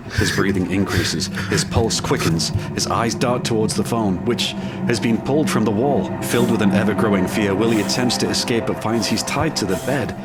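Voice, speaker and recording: A man giving scripted narration for an audio drama. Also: lowish voice.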